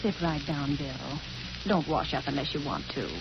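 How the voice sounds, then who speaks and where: Low-voiced, steady small-town wife. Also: female voice, normal speed, gravelly. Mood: restrained. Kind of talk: 1940s radio drama acting. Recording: noisy muffled 1941 radio recording.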